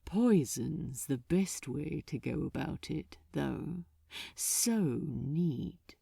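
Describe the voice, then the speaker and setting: Woman playing a suave, low, unhurried male archdemon. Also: expressive, vocal fry, warm tone, breathy edge, no echo, clean studio sound, heavily acted. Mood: breezy, pleased. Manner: smug, elegant, unhurried. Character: suave, sly male archdemon, tender and menacing.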